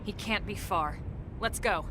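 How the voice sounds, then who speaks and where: A woman's voice: mid-range voice, unhurried, noisy background, close to an everyday voice. An actress playing a serious, decisive female military squad leader in a video game cutscene, businesslike and focused. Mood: focused, commanding. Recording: game music behind the voice.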